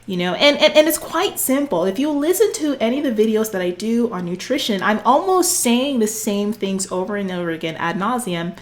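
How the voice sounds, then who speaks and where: A woman's voice: mid-range voice, expressive, brisk, few pauses. A fitness coach in her forties speaks straight to the camera, sassy and preachy, calling her listeners honey and girl. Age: 40s. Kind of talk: direct-to-camera coaching talk.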